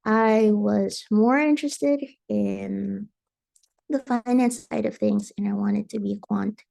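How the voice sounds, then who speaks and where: A woman's voice: medium pitch, slow, gravelly, dark tone. A young woman from Indonesia speaking on a student transfer panel, giving detailed advice with frequent ums. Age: young adult. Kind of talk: panel answer, casual.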